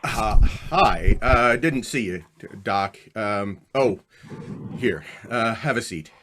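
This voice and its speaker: A man playing an awkward, uneasy book editor in a radio drama, speaking hesitantly and haltingly. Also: lowish voice, animated, fairly bright tone, heavily acted. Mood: flustered, hesitant. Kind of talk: old-time radio drama acting.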